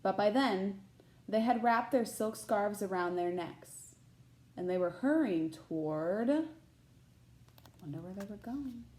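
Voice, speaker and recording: A woman's voice: mid-range voice, lively intonation, slow, long pauses, a little mellow. A woman teacher reading a children's picture book aloud expressively, with short asides to her listeners.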